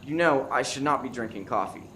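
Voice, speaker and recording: A man's voice: medium pitch, a little fast, sharp tone, slight background noise, close to an everyday voice. A man playing an anxious, gloomy diner regular in a comic radio play. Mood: agitated worry.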